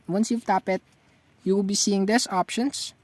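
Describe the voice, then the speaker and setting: A tutorial host gives step-by-step narration for a screen walkthrough. The voice sits at a medium pitch, and the sound is dry with very little room in it. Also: steady tone, normal speed, a little mellow, no room sound.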